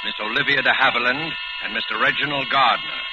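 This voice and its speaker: A man's voice: mid-range voice, fairly even intonation, quick pace, heavy background noise. A man announcing a 1940s suspense radio programme in a formal, dramatic, portentous way. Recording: dull vintage radio, loud music behind.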